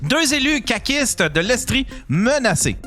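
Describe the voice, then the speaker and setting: A man's voice: very high voice, big pitch swings, unhurried, pushed and bright, soft background music. A man with a Québécois French accent co-hosting a comedy podcast, reading out headlines with casual, hesitant, giggly banter.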